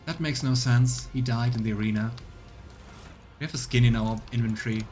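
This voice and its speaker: A man's voice: medium pitch, fairly even intonation, brisk, darkish tone, faint music behind. A man giving casual live game commentary, musing and grumbling as he goes. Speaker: let's play streamer. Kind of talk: casual live game commentary, sometimes reading game text aloud.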